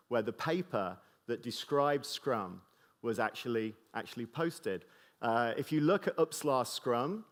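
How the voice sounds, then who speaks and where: A middle-aged British man with a slight mid-Atlantic tinge gives a conference keynote to a live audience. He jokes loosely and fills his talk with fillers and restarts. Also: medium pitch. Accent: British English with a slight mid-Atlantic tinge. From Britain.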